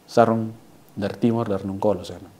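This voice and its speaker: Young man from Soe as a relaxed interview guest, Soe Timor accent, quick talk, dark tone. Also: fairly low pitch. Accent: Soe, Timor (Kupang Malay). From Soe, South Central Timor, Indonesia. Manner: relaxed, rambling, joking, regional slang. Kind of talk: casual interview chat.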